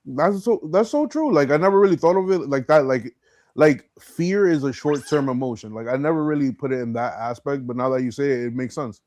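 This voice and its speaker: A man's voice: medium pitch, lively intonation, a little fast, a little mellow, no echo. Casual male podcast host, dry close sound. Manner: slangy, affirming, laid-back phrasing. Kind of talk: casual chat.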